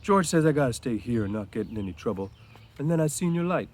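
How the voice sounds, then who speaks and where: A man's voice: mid-range voice, expressive, fast, darkish tone, close to an everyday voice. A man voicing a simple-minded, friendly big farmhand with plaintive, folksy rural speech in a storybook reading. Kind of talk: storybook read-aloud character voice.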